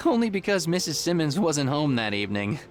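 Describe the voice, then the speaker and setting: A boyish young man, an inventor and adventure game hero. His fairly high voice moves with lots of melody, earnest and a touch nervous, over soft background music. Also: sing-song, normal speed. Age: young adult. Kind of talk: adventure game dialogue and musing.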